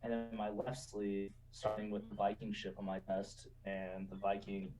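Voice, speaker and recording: Man in his twenties, low voice, easygoing storyteller, slightly distant mic. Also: moderate pace, music in the background. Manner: relaxed, chatty, laughs often, says "you know" a lot. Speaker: guest. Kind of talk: casual interview storytelling.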